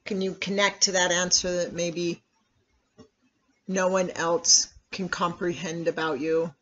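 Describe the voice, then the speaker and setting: Meditation guide, medium pitch, very unhurried, measured instructional delivery with long pauses, muffled lo-fi sound. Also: level tone, sharp tone. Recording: dull, lo-fi recording.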